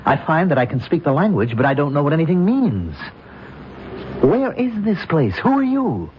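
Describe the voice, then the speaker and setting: Halting, bewildered scout, muffled radio. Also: male voice, fairly high pitch, big pitch swings. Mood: bewildered, pleading. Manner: halting, solemn, searching for words. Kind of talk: old-time radio drama acting. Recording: muffled, hissy old radio recording.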